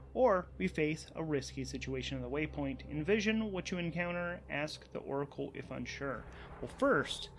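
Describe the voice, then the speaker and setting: Guy with a slightly high voice running a solo rpg, casual, thinks out loud, kinda halting, bit of noise behind him. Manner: halting, improvising, repeats words as he thinks. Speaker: host and narrator of a solo tabletop game. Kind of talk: casual actual-play narration, thinking aloud.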